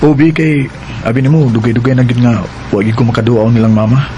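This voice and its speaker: An actor playing a smooth-talking, evasive young two-timer in a radio drama, stalling in a Cebuano accent. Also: male voice, medium pitch, fairly even intonation, fast, dark tone, a little hiss or hum, close to an everyday voice. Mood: evasive, soothing.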